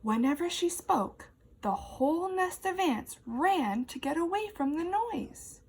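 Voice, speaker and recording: A woman's voice: very high voice, expressive, a little slow, a little mellow. A woman teacher reading a children's story aloud expressively and asking young listeners questions.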